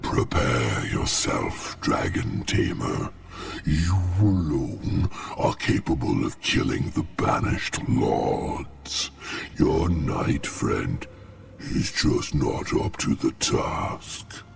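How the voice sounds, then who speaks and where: A menacing dragon villain for a fantasy game. The voice is very deep and growls with heavy gravel. It swings dramatically as it mocks and tempts, with music behind it. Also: male voice, sing-song, full character voice. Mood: tempting. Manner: slow, theatrical, mocking. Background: clear music.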